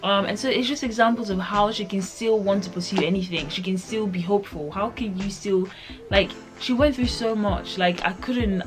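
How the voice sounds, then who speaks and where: A young woman reviewing a book in a chatty, rambling way, full of "like" and asides. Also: medium pitch. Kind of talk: casual book review vlog.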